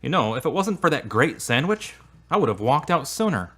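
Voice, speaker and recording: A man playing a devout, easily wounded boyfriend in an audio drama, earnest and expressive. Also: a little high, normal speed, husky, exaggerated voice. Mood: hurt, indignant. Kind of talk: acted audio drama dialogue.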